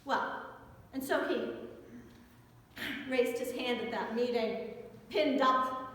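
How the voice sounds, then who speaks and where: An American woman priest giving a sermon in a conversational way, with rhetorical questions and emphatic pauses. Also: fairly high pitch, a bit bright. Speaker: Episcopal priest preaching. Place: church interior. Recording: very echoey church with long reverb.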